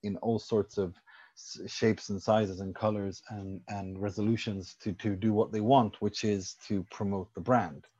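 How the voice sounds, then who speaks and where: A man's voice: fairly low pitch, fairly even intonation, measured pace, warm tone, slightly rough, lo-fi sound. A man from Sweden, a football journalist guesting on a podcast, explaining his views at length with firm confidence. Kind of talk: casual podcast discussion.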